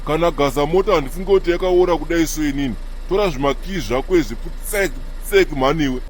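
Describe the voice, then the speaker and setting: A male actor voices a cocky, short-tempered man from a comedy cartoon. His voice is on the high side and runs quickly, boastful and blustering. There is faint vehicle noise in the background. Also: lightly acted. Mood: angry, insulting. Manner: placating, boastful, turning to blustering insults. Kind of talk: comedy cartoon dialogue in Shona mixed with English.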